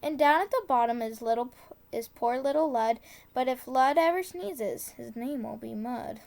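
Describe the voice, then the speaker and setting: A woman reading a children's tongue-twister book aloud, deliberately, with casual asides and the odd corrected stumble. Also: sits high, gravelly, darkish tone. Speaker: storybook reader.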